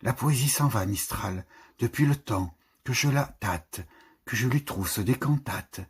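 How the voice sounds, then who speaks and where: A man reciting poetry in deliberate, measured short phrases with pauses between them. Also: mid-range voice, slightly rough. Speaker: poetry reader.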